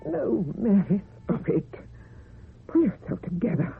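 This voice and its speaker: A woman's voice: medium pitch, big pitch swings, a little slow, creaky. An actress playing a lonely, frightened, ageing woman in a theatrical, tremulous old-time radio horror style. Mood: shaken, self-scolding. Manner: theatrical, tremulous, talking to herself. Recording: dull vintage radio recording, faint hiss.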